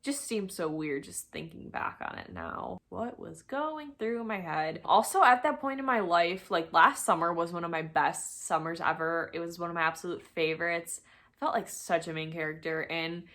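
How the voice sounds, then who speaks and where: Lower-voiced young woman telling a casual story. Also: moderate pace, a bit bright. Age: young adult. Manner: chatty, informal, self-deprecating, acts out quoted dialogue. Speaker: storytime vlogger.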